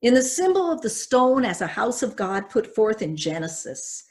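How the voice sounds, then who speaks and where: Measured older woman preaching from a pulpit. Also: on the high side, big pitch swings, a little mellow. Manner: measured, reflective, reading from notes. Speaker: pastor. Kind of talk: sermon. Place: church sanctuary at a pulpit.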